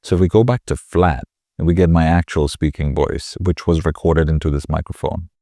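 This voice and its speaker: A man with a slightly nasal voice, casually talking through a software tutorial in a chatty, rambling way. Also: a bass voice, steady tone, fairly quick, no echo, studio quality. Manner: chatty, rambling, self-correcting. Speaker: tutorial host. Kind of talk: casual screen-recording tutorial.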